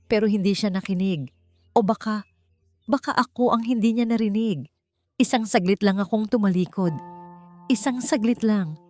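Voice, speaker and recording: A woman narrator plays an anguished young caregiver woman weighed down by guilt in an audiobook story. She speaks in halting, pleading phrases that repeat, with music behind her. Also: mid-range voice, darkish tone, close to an everyday voice.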